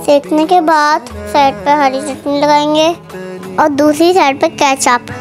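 A woman's voice: high-pitched, fairly even intonation, unhurried. A young-sounding female cooking host, narrating a recipe step by step in voice-over. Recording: music behind the voice. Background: background music.

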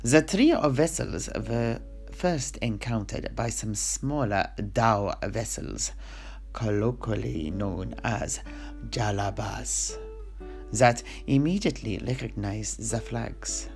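A man narrating a fantasy history as a storyteller, with dramatic pauses and direct asides to the listener. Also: lowish voice, gravelly, darkish tone, soft background music.

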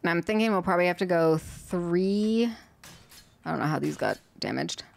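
A woman's voice: fairly low pitch, expressive, normal speed, creaky. A young woman streaming a game from home, chatting to herself as she builds, her voice a little worn. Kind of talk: casual gameplay commentary.

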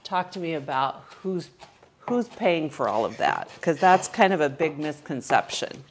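A woman's voice: sits low, very animated, a little slow, a little hiss or hum. A middle-aged woman asking curious, chatty questions while helping cook in a fire station kitchen. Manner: curious, chatty, uses "you know, like". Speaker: interviewer. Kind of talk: casual interview while cooking.

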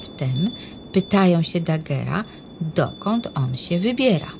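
Gentle woman reading a rhyming story. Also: on the low side, expressive, background noise. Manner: gentle, measured. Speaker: narrator. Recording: narration over music.

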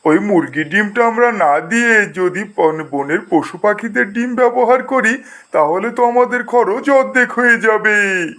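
A man plays an excitable crow schemer in a children's cartoon. His voice sits very high for a male, and he stretches out his exclamations at an unhurried pace. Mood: explaining, scheming. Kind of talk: animated cartoon voice acting.

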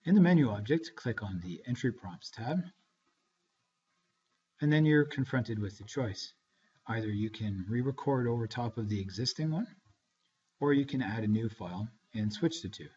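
Dull-sounding male software tutorial narrator. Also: medium pitch, animated, moderate pace. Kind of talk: step-by-step scripted tutorial narration. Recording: dull, lo-fi screencast voice-over.

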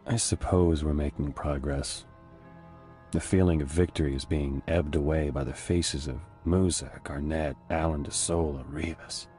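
A haunted American squad leader in a war game. His voice is extremely low and measured, narrating somberly over music. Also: fairly even intonation, warm tone. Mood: brooding. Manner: brooding, measured, introspective.

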